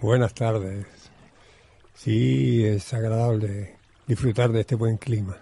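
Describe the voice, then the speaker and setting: A man's voice: on the low side, steady tone, slow, gravelly, mellow. A man with an Argentine accent, a Zen monk being interviewed, talking reflectively and searching for words, often repeating a phrase. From Buenos Aires, Argentina. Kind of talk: reflective conversation.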